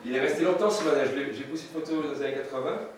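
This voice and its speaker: A man's voice: a little high, very fast. A man in his fifties from France, presenting his photography at a seminar, with many fillers and restarts. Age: 50s. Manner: hesitant, many fillers and restarts, light chuckles. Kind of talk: seminar talk with slides. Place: seminar room with audience. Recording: echoey room, distant mic, noisy.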